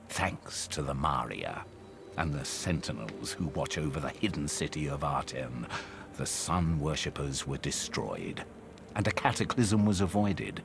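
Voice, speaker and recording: An actor playing a grave, wise old mystic in a video game, with a gravelly, measured, ominous delivery. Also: male voice, deep voice, noisy background, full character voice. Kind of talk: solemn video game storytelling.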